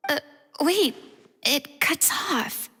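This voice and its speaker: A woman streamer reading game dialogue aloud in a soft, husky, breathy half-whisper. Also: high-pitched, lively intonation, drawn-out words, big-room sound.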